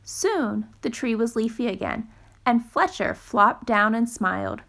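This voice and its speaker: A woman reading a children's storybook aloud in a careful, gentle, expressive storytelling style. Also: a little high, a little slow, gravelly. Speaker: storytime reader.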